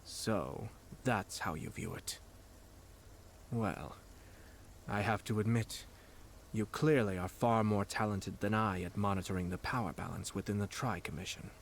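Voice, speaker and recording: A man voicing a calm young noble commissioner in an anime-style game, measured and eloquent, politely condescending. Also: fairly low pitch, vocal fry, slight background noise. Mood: dry irony.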